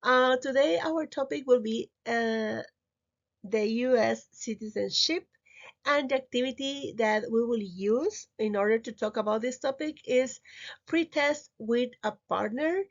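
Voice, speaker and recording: A woman's voice: a little high, unhurried. A middle-aged woman from Peru with a Spanish accent, teaching a recorded slide lesson in hesitant, simple phrases with repeated words. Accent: Peruvian Spanish. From Lima, Peru. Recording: slightly muffled, dull audio.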